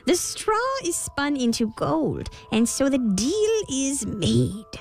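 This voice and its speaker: A woman's voice: high voice, big pitch swings, soft background music, voice pushed up, full character voice. A woman voicing a sly, greedy little male imp who drags his words out in a teasing way. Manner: slow, sly, teasing delivery.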